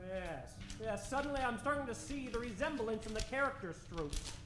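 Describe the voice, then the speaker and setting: An actor playing an eager, fearless doctor adventurer in old-time radio style, with theatrical, old-fashioned diction. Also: male voice, very high voice, expressive, moderate pace. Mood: genial, curious. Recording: roomy stage recording, music underneath.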